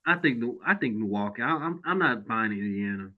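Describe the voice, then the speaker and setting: Man, podcast host, lively sports chat, frequent laughs, muffled lo-fi audio. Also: fairly quick. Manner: lively, laughs often, drops to a low mutter. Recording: dull lo-fi sound.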